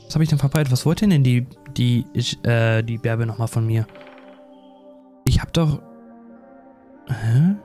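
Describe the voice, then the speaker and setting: A man's voice: mid-range voice, unhurried, long pauses, dark tone, faint music behind. A man doing casual, rambling let's play commentary in a self-deprecating, chatty way. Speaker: gaming YouTuber.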